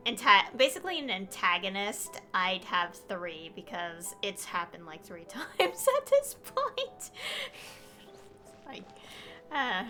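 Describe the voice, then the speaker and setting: A woman's voice: mid-range voice, very animated, takes it slowly, sharp tone. A woman streamer giving casual, rambling video game commentary, often interrupting herself. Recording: game music behind the voice. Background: video game music.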